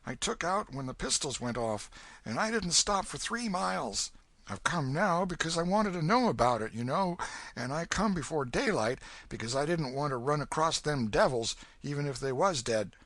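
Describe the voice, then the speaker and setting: Bir adamın canlandırdığı korkmuş, yalvaran serseri çocuk. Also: male voice, expressive, moderate pace, a bit bright, no echo. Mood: pleading. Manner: high, breathless pleading. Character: scared ragged boy, pleading and jumpy.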